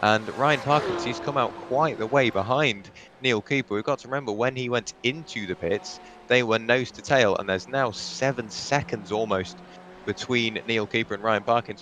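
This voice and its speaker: A man co-commentating a live sim race with steady, analytical play-by-play. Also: on the low side, moderate pace, a little hiss or hum.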